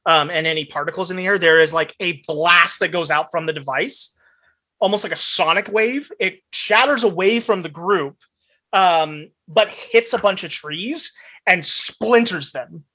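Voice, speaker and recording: A woman's voice: fairly low pitch, unhurried, fairly bright tone. A woman running a tabletop role-playing game, describing scenes casually and vividly as game master.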